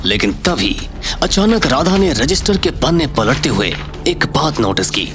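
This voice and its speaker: A man's voice: on the high side, very animated, moderate pace, music in the background. A man narrating a scripted audio story in a dramatic storytelling style.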